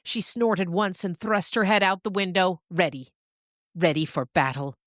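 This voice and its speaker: A woman narrating scripted fiction as a storyteller, with expressive, deliberate phrasing and dramatic pauses. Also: lowish voice, dry recording, clean studio sound.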